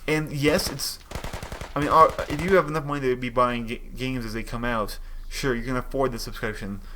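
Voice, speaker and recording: A man's voice: medium pitch, fairly even intonation, fairly quick, bright, forceful tone, a little hiss or hum. A young man giving casual, opinionated gaming commentary, rambling and restarting his sentences as he thinks aloud.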